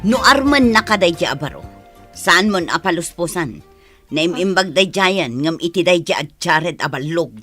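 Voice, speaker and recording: A woman's voice: on the low side, animated, normal speed, a bit bright, soft background music. An actress playing a scolding, disapproving middle-aged mother in a radio drama.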